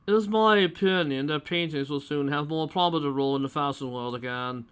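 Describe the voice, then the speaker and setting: A woman's voice: low-pitched, normal speed, a bit bright, voice pushed up. A woman voicing a pompous older male fashion expert who rolls his Rs. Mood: haughty.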